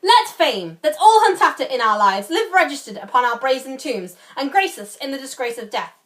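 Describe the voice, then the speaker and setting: A woman's voice: high voice, lively intonation, normal speed, a bit bright. A woman playing a solemn, commanding king in a Shakespeare scene, declaiming formally. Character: solemn, commanding young king addressing his lords. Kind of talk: Shakespearean stage acting.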